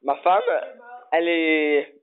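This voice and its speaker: Pushy prank caller on a phone line. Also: male voice, on the high side, fairly even intonation, clear, resonant tone. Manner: stubborn, halting, absurd demands.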